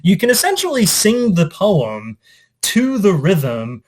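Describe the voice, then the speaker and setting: A man speaking as an English teacher, informally reading and discussing poetry in a reflective, conversational, somewhat halting way. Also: high voice, huge pitch swings, darkish tone. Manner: reflective, conversational, hesitant with trailing asides.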